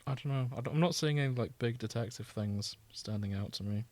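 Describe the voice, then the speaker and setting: A man's voice: medium pitch, fairly quick, a little mellow, no echo. Chatty British man hosting, dry close sound. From Britain. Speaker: podcast host. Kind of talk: casual podcast chat.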